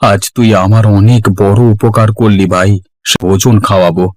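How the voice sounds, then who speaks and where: A man's voice: fairly low pitch, fairly even intonation, normal speed, dry recording, lightly acted. A man voicing a haughty, anxious rich village husband in a cartoon story, fussy and exclamatory. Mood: relieved, grateful. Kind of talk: Bengali cartoon story voice acting.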